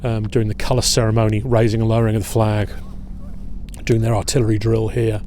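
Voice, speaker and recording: A middle-aged man leading an outdoor history tour at a waterfront fort. He talks in a relaxed, halting way with many filler pauses. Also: lowish voice, slight background noise. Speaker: historian and battlefield guide.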